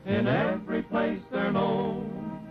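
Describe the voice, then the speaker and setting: A woman's voice: mid-range voice, level tone, slow. A woman singing an old-time western song. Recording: muffled vintage soundtrack. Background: music.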